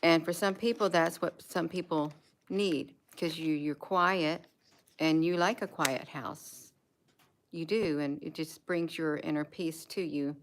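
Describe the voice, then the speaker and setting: American woman, low register, casual home vlogger, unscripted and repetitive. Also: steady tone, measured pace, a little hiss or hum, low-quality recording. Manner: repeats words and phrases for emphasis. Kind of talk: casual rambling chat, showing gadgets. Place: indoors at home.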